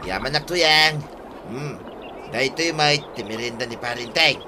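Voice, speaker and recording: A man's voice: mid-range voice, measured pace, noisy background, natural voice. A man with an Ilocano accent playing a bossy, scheming village buddy in a radio comedy drama, coaxing in a conversational way.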